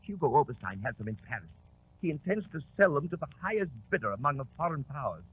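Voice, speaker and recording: Flustered English colonel, very husky, pitch swinging wildly, pleading, radio drama. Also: male voice, very animated. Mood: defeated, pleading. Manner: agitated, halting, pleading. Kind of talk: 1940s radio drama acting. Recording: tinny, muffled 1940s radio recording.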